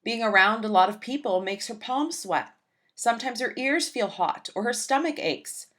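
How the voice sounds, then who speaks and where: A woman's voice: on the high side, lively intonation, moderate pace, a bit bright. Woman reading a picture book aloud. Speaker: children's book reader.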